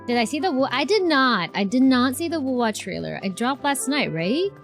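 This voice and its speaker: A woman's voice: sits high, big pitch swings, moderate pace, faint music behind. A woman streamer chatting casually and reacting as she plays a game, talking to her viewers. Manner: reactive, talks to chat. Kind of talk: casual live game playthrough chat.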